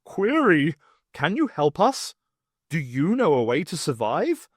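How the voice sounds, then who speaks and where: Casting: formal, stilted alien leader acted by a man, high voice, for an audiobook. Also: huge pitch swings, measured pace, no echo, clean studio sound, full character voice. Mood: pleading. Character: formal alien master with stilted, query-led speech.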